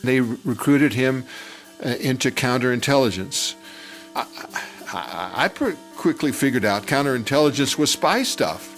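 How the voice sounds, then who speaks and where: An older man telling a personal story from his youth in a conversational, halting and animated way. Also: medium pitch. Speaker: storyteller telling his own childhood memory. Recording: music bed under the voice. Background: music.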